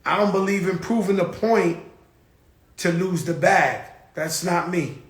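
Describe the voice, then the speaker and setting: A man's voice: high voice, a little slow, reverberant. A man hosting his own show, talking in a blunt, profane, rambling casual monologue. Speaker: show host.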